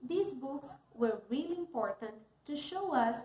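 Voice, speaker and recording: A woman's voice: sits high, lively intonation, measured pace, warm tone, slight background noise. Woman, careful, echoey. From Brazil. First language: Portuguese. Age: 30s. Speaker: teacher. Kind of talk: scripted talk, read aloud.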